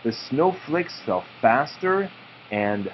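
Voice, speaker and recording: Expressive dad reading a storybook, muffled recording. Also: male voice, medium pitch. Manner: slow, drawn-out storytelling delivery. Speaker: parent reading to a child. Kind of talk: read-aloud of a children's picture book, with informal asides. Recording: muffled home recording with background noise.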